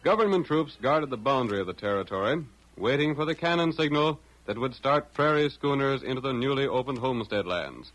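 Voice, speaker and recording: A man's voice: mid-range voice, lively intonation, a little fast, few pauses, fairly bright tone, low-quality recording. A man with an American accent narrating a vintage radio western in a dramatic, declamatory announcer style. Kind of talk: 1930s-40s radio scripted narration.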